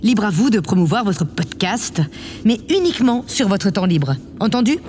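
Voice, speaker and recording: Self-important woman leader for sci-fi audio comedy, female actor: measured, patronising, middle register. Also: mid-range voice, very animated, darkish tone, a little hiss or hum, lightly acted. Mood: curt, condescending. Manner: haughty, measured, condescending. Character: vain, condescending woman president of a space colony. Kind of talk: French audio comedy drama.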